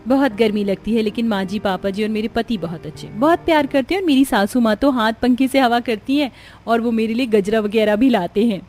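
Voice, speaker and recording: A young woman voicing an emotional married sister in a cartoon family drama, with expressive delivery. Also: on the high side, brisk, soft background music, lightly acted. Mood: chatty, sweet.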